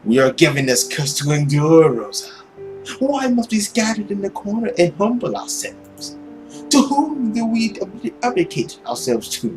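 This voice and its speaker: Here is a gloating, centuries-old villain from a visual novel, acted out by a man. The voice swings widely and sits a little high, savoring words with arrogant, theatrical mischief. Music plays clearly behind it. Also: big pitch swings. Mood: grandiose, persuasive. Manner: theatrical, arrogant, drawn-out delivery with sudden emphasis.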